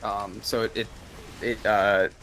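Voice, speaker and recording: A man's voice: lowish voice, level tone, slow, a bit bright, poor audio. Kiwi guy rambling on his stream, keeps restarting sentences, bit of faint hiss. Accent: New Zealand. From New Zealand. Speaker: streamer and video creator. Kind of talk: casual chat on a live stream while gaming.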